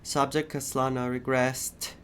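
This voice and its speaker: A man's voice: mid-range voice, little pitch movement, unhurried, fairly bright tone, a little hiss or hum. A man doing playthrough commentary as a game streamer, reacting and reading along. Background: game music.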